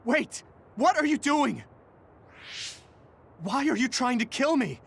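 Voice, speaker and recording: Earnest teenage male soldier, high voice, swooping intonation, pleading, game drama, noisy backdrop. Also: big pitch swings, slow pace, vocal fry, a bit bright, husky, full character voice. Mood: bewildered, hurt. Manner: pleading, emotional. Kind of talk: dramatic video game dub acting.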